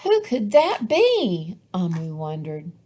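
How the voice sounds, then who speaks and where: A woman narrating a children's picture book in a deliberate, expressive storytelling manner. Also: on the low side.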